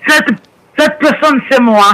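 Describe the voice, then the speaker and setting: Bright high woman on a call. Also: slow, poor audio. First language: Portuguese. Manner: hesitant, repeats and searches for words. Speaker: caller. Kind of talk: phone conversation.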